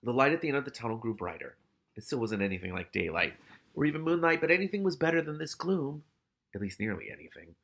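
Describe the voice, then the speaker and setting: A man's voice: mid-range voice, sing-song, fast talker, vocal fry, fairly bright tone. A man reading a novel aloud expressively, with casual, candid asides of his own.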